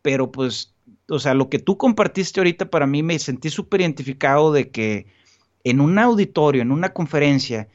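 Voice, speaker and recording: A man talks at length in an earnest, rambling way, circling back with restarts and fillers. His voice sits slightly high, and the recording sounds dull and lo-fi. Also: expressive, moderate pace. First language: Spanish. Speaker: guest. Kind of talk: casual podcast conversation.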